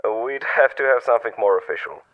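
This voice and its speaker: An actor in a radio drama playing an officious telephone company official, formal and bureaucratic, strictly by the book. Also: male voice, mid-range voice, lively intonation, fairly quick, lo-fi sound, close to an everyday voice. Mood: skeptical, officious.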